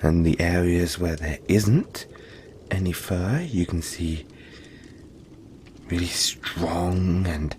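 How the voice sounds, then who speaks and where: Man voicing a deep, slow, cuddly yeti. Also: fairly even intonation, darkish tone, a little hiss or hum. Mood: playful, proud. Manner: halting, soothing, chatty, playful. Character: big cuddly male yeti monster, friendly, apologetic, gentle. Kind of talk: audio roleplay addressed to the listener.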